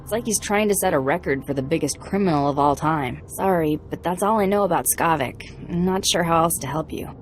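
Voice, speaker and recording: An actress playing a sardonic, defiant captive hacker in a video game, talking in a dry, sarcastic way. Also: female voice, deep voice, brisk, hardly any pauses, soft background music, lightly acted. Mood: eager bargaining. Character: sardonic enslaved female hacker, defiant and bargaining. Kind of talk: video game dialogue acting.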